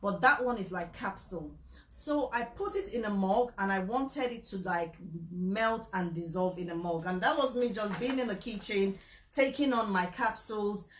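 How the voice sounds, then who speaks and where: A woman's voice: medium pitch, moderate pace. Chatty woman, echoey room. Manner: chatty, emphatic, repeats herself, laughs often. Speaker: vlogger promoting supplements. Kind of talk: casual talk mixing a sales pitch with preaching.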